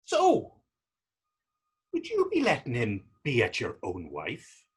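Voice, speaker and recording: A man playing a taunting, defiant Irishman in a readers theater drama, with a husky voice, an Irish accent and a mocking, wordy old-fashioned way of talking. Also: medium pitch, huge pitch swings, slow, full character voice. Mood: mocking, provocative. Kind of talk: readers theater stage acting.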